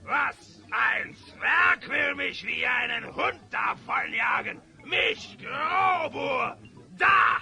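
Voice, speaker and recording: An actor playing a boastful, hot-tempered tavern bully, bellowing with a gravelly, strained voice in a fantasy audio drama. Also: male voice, very high voice, animated, extremely slow, bright, forceful tone, raised voice, heavily acted. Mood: boastful, menacing. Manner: bragging, bellowing.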